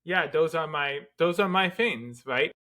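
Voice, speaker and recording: A man co-hosting a podcast, telling a story in a casual, animated way with lots of "like.". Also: on the high side, measured pace. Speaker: podcast co-host.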